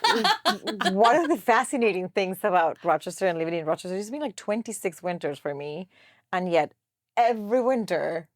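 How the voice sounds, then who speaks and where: A woman's voice: medium pitch, big pitch swings, a little fast, no echo, studio quality. A middle-aged woman from Peru who speaks. She is a podcast guest telling humorous stories in a chatty way. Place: podcast studio.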